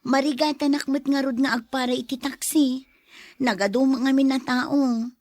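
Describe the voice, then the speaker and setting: A woman playing a devoted young bride-to-be and tender daughter in an audio drama, with emotive, drawn-out delivery. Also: high voice, steady tone, a little mellow, no room sound, studio quality, natural voice. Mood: apologetic, uneasy.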